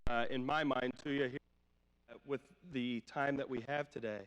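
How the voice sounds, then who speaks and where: A man's voice: medium pitch, steady tone, moderate pace, a bit bright, lo-fi sound, slight background noise. Man preaching conversationally in a reverberant hall. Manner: informal, checks in with listeners with rhetorical questions. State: slight cough, voice a little off from a cold. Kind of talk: conversational sermon. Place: church hall during a live-streamed service. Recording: long hall reverb, live church stream.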